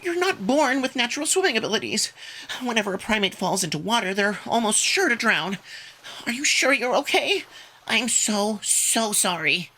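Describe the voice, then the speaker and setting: A woman's voice: fairly high pitch, sing-song, moderate pace, sharp tone, heavily acted, rough recording. A woman playing a timid, anxious male cat alien in a visual novel, polite and easily flustered. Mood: apologetic, distressed. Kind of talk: visual novel character acting.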